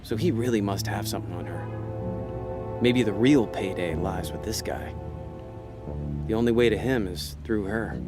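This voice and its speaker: A man's voice: lowish voice, unhurried, pauses often, over music, close to an everyday voice. An actor playing a laid-back con man who narrates a noir audio drama in a wry, slangy, hard-boiled way. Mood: scheming, suspicious. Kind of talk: noir audio drama acting with inner monologue.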